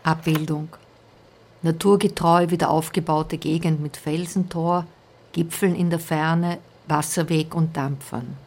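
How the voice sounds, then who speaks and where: A woman's voice: deep voice, steady tone, measured pace, darkish tone, a little hiss or hum. A woman reading factual captions as scripted narration.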